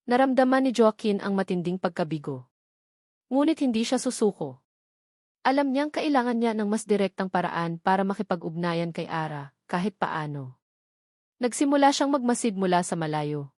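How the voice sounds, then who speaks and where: A woman narrating a scripted romance story in a measured, melodramatic read-aloud manner. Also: mid-range voice, animated, a little mellow, no room sound, studio quality.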